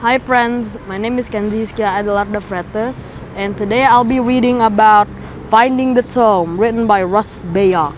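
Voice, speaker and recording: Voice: medium pitch, fairly even intonation, normal speed. A school student, female-sounding, reading a story aloud in English. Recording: clearly audible background noise.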